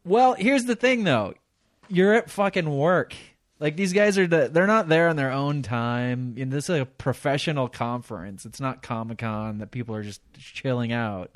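A man's voice: medium pitch, normal speed, dry recording. Man, blunt, sarcastic, foul-mouthed, casual talk, dry close mic. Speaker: podcast co-host. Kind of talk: casual chat.

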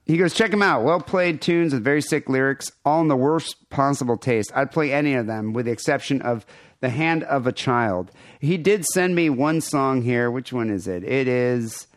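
A man's voice: medium pitch, dry recording, clean studio sound. A man co-hosting a podcast, rambling casually with crude, irreverent jokes and show plugs. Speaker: podcast co-host.

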